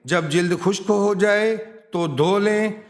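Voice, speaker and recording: A man with a notably high voice narrates scripted advice. He takes his time over each phrase, speaking slowly in a measured, instructional manner. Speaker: narrator of a home remedies and quotes channel.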